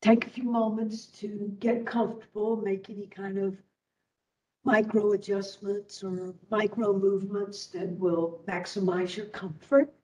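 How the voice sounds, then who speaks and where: A woman teacher leading a guided meditation in a soothing, deliberate way, leaving long pauses. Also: mid-range voice, flat delivery, a little mellow. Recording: distant microphone.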